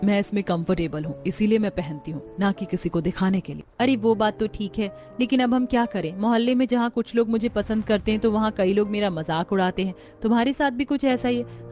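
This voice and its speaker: Cartoon young daughter-in-law played by a woman: Indian, friendly, chatty, expressive, mid-range voice, soft music underneath. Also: medium pitch, brisk, hardly any pauses, natural voice. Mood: earnest, resigned. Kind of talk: cartoon story dialogue.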